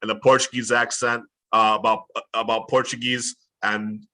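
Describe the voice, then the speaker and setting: An American man from Chicago, a guest in a casual conversation, telling stories in a relaxed, agreeable way. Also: lowish voice, moderate pace. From Chicago, USA. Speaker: interview guest, polyglot.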